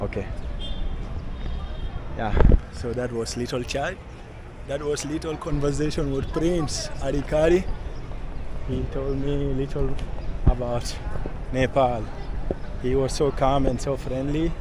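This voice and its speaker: A man's voice: mid-range voice, fairly even intonation, measured pace, pauses often. Young man, chatty travel vlog, phone mic, street noise outdoors. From South Sudan. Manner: chatty, keeps addressing viewers as guys. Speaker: travel vlogger. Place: outdoors at a busy temple site.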